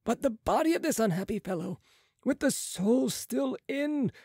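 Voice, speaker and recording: A man's voice: very high-pitched, expressive, moderate pace, darkish tone, husky, dry recording, clean studio sound, pitched above the performer's own voice, exaggerated voice. A man voicing a sceptical, mocking male physician who declaims in an audiobook. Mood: scornful, lecturing. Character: sceptical, scornful male physician with materialist views. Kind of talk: audiobook character voice.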